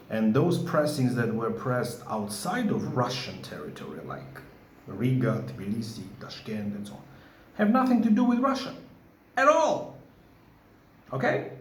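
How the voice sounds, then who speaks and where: A man's voice: medium pitch, big pitch swings, a little slow, roomy sound, a little hiss or hum. A man with a Georgian accent, a record collector making an insistent vlog and often asking "okay?". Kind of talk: to-camera vlog.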